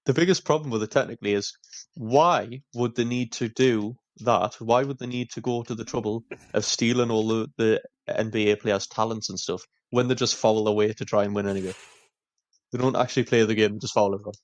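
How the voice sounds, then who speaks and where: Young male, rapid-fire argumentative banter, lowish pitch. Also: fairly even intonation, no echo. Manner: argumentative, piling up points, restarts. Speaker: podcast co-host. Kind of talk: casual podcast banter.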